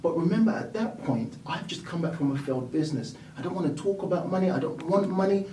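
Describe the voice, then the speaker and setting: A man from the United Kingdom tells his story with energy, slipping in and out of voices as he quotes people. His voice sits on the higher side. The room is echoey and he sounds a little far from the mic. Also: expressive, fast, dark tone, a little hiss or hum. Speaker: property investor and mentor. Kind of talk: storytelling interview guest.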